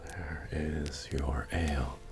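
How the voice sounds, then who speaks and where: A man playing a friendly, humble medieval innkeeper in a fantasy ASMR roleplay, speaking softly and often near a whisper as he tends to a guest. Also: flat delivery. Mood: quiet, attentive. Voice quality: deep, soft, often dropping to whisper. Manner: unhurried, hospitable, murmuring to a guest. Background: soft bard music.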